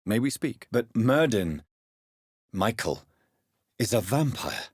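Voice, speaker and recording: Video game lead: grave British vampire doctor, deep and husky, formal, courteous phrasing. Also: male voice, big pitch swings, dry recording, studio quality, heavily acted. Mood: formal, serious. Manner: formal, measured, polite questioning. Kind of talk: dramatic video game dialogue.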